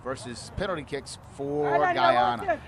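A man's voice: a little high, wildly swooping pitch, measured pace, crowd noise. A man commentating a youth rugby match at an outdoor field, explaining the rules and lifting his voice on big plays. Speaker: play-by-play commentator. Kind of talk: live sports commentary.

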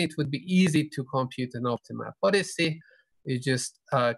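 A middle-aged man giving an explanatory conference lecture over a video call, pausing often mid-sentence. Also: fairly high pitch, creaky, fairly bright tone, dry recording. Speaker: keynote speaker, researcher. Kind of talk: academic conference lecture with slides. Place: indoor room, video call.

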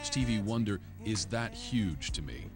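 Male interpreter voice-over, lowish voice, calm even read, soft music under. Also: unhurried. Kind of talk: translated voice-over.

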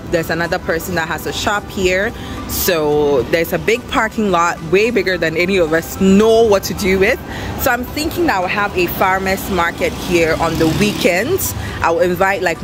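Low-voiced young woman vlogging, music behind. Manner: excited, upbeat. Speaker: vlogger and small business owner. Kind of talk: casual vlog chat to viewers. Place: outdoor storefront and bare empty shop room.

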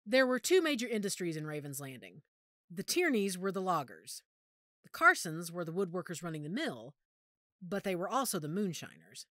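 A woman playing a knowledgeable werewolf pack member in an audio drama, speaking in a measured, authoritative, explanatory way. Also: fairly low pitch, big pitch swings, a bit bright, no room sound, studio quality. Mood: informative, assured. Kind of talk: audio roleplay drama acting.

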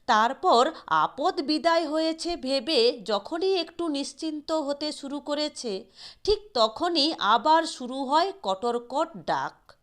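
A woman's voice: high-pitched, expressive, slow, a bit bright. A woman reading a literary short story aloud as scripted narration. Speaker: audio story reader.

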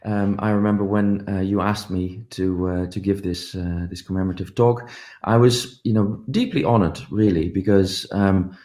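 A man's voice: sits low, fairly even intonation, normal speed, warm tone. A middle-aged man giving a commemorative conference talk over a video call, telling stories with many ums and uhs. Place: home office, indoors. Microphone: video call webcam.

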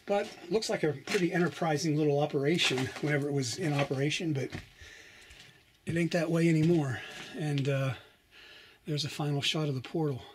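A man's voice: a little high, fairly quick, a little hiss or hum. Man musing aloud while exploring. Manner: musing, hesitant, thinking aloud. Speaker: explorer vlogger. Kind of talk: casual walkthrough narration. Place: inside an abandoned mine and outdoors in desert.

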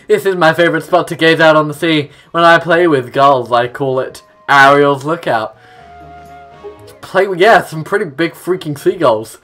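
A man's voice: fairly high pitch, unhurried, a bit bright. A young man giving casual let's play commentary, reading game text aloud and adding offhand asides. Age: young adult. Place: small room at home, indoors. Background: game music with plucked guitar.

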